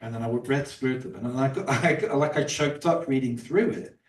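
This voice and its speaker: A man's voice: mid-range voice, fairly quick. A man, an author, talking casually about his book at a bookstore event, rambling with many fillers and restarts. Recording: roomy, distant from the mic.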